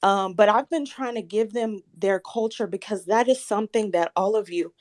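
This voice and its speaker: Earnest soft-spoken woman in her thirties. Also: medium pitch, moderate pace. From Southern United States. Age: 30s. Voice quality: slightly shaky voice. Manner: soft-spoken, earnest, grows firm and insistent when challenged. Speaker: caller. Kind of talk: live audio chat room.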